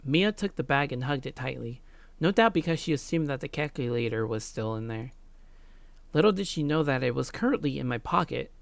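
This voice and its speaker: A woman's voice: extremely low pitch, lively intonation, fairly quick, gravelly, no echo. A woman hosting a let's play, reading visual novel narration and adding casual gaming commentary, close on the mic.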